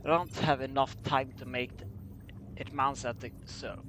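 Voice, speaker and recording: A man reading a scripted story aloud as an amateur narrator, halting and stumbling over his words. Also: medium pitch, steady tone, a bit bright, slight background noise. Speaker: amateur fan fiction reader.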